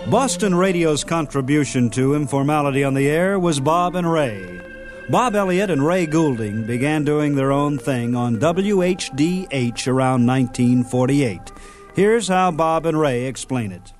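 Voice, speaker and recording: A man's voice: on the high side, expressive, measured pace. A man hosting a radio show, delivering scripted narration in an announcer's style. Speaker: radio host. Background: loud music.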